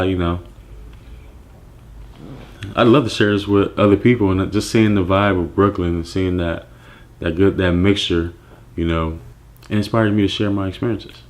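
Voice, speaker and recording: A man from Nigeria, raised in the United States, telling his personal story as a clothing brand founder, reflective and relaxed with frequent fillers and restarts. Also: low-pitched.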